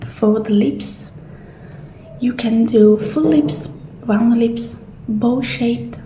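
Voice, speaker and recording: A woman doing a soft, partly whispered ASMR roleplay as a gentle attendant walking a client through options. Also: on the high side, very slow. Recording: roomy echo, faint background noise.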